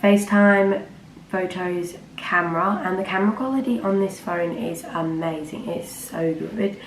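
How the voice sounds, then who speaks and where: A teenage girl, slightly croaky from a cold, rambling casually to camera with lots of fillers. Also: lowish voice, unhurried, a crowd in the background. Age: teens. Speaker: vlogger. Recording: roomy with faint ambient noise.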